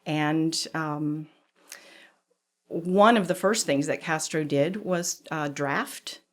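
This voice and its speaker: A woman around seventy, a furniture designer, recalling her life in a reflective, measured conversational way. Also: low voice. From Cuba, raised in Georgia. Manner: reflective storyteller, measured with small pauses. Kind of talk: conversational interview.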